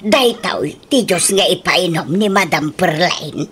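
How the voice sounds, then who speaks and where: A woman's voice: lowish voice, lively intonation, slow, a bit bright, slight background noise. A woman voicing a sardonic, complaining older female farm hand in a comedy radio drama.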